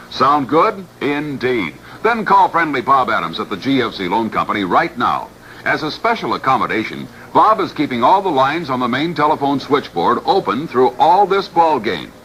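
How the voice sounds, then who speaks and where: An old radio recording with faint noise features an American man reading a scripted commercial. His voice is bright and forceful, with lively rises and falls in a 1960s announcer style. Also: medium pitch, poor audio.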